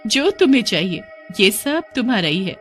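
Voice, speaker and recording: A woman's voice: medium pitch, very animated, moderate pace, soft background music, close to an everyday voice. A woman voicing a menacing witch girl who taunts and laughs in a horror storybook tale. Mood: sweetly luring.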